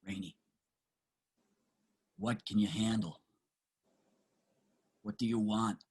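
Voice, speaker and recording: An actor playing a weary homeless man in a dramatic reading, halting and heavy with feeling, with a husky, gravelly voice. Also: lowish voice, fairly even intonation, darkish tone, exaggerated voice. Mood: wounded, tender. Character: weary homeless man insisting on his own name.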